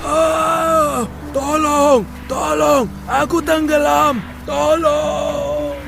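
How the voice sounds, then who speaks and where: An actor playing a big greedy wolf in a children's fairy tale, groaning and pleading in a woeful manner. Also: male voice, very high voice, little pitch movement, extremely slow, creaky, background noise, exaggerated voice. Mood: panicked, crying out.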